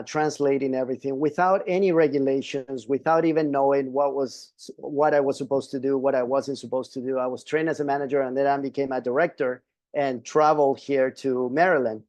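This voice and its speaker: A man whose first language is Spanish, talking on a webinar panel in a halting way, with many restarts and fillers. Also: medium pitch, mellow, no room sound. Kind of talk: casual panel talk.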